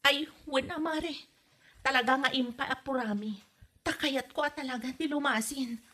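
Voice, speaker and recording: High-pitched exasperated wife acted by a woman. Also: normal speed, pushed and bright. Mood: complaining. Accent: Ilocano. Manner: complaining, emphatic. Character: exasperated young wife fed up with meddling mother-in-law. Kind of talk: Ilocano comedy drama acting.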